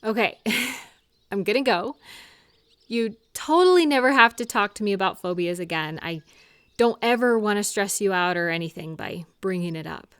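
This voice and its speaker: A woman playing a caring, slightly awkward friend in a comfort audio roleplay, speaking one-on-one in a gentle, earnest, hesitant and reassuring way. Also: mid-range voice, animated, moderate pace, clean tone, no room sound, studio quality, lightly acted. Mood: shy, supportive.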